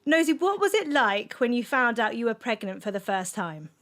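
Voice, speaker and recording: A woman's voice: a little high, sing-song, a little fast, a bit bright. A woman hosting an interview podcast, encouraging her guest with small affirmations over a remote connection. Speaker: podcast host. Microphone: remote recording.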